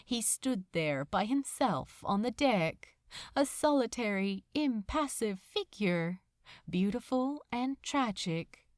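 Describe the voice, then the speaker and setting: A woman reading literary fiction aloud as scripted audiobook narration, in a measured, dramatic storytelling manner. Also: fairly high pitch, lively intonation, no echo, clean studio sound.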